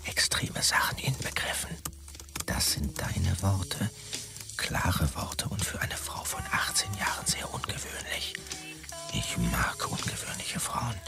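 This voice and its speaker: A low male voice plays a self-important, sleazy young manager in a radio drama. He is officious and pushy, swinging wildly in pitch, with prominent music behind him. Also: wildly swooping pitch, a little slow, vocal fry, in a whisper, heavily acted. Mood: seedy, hushed. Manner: officious business jargon, heavy English buzzwords. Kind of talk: radio drama, characters reading out e-mails.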